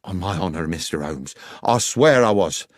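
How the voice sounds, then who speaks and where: A man's voice: deep voice, lively intonation, normal speed, no echo, studio quality. A man voicing an earnest, weary railway worker in an audiobook, deferential and defensive under questioning. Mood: earnest protest.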